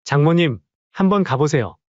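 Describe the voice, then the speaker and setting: A man plays a smug son-in-law who talks in a brisk, offhand way. His voice sits a bit high for a man. The recording is dry and a little dull. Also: animated, no echo, close to an everyday voice. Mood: dismissive. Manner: curt, offhand, dismissive. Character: arrogant son-in-law, impatient and dismissive.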